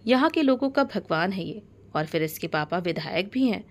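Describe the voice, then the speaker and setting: A woman's voice: medium pitch, animated, moderate pace, close to an everyday voice. A middle-aged father from a storybook drama, read by a woman. He is wary and firm. He reasons carefully and cautions those around him. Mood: cautious reasoning.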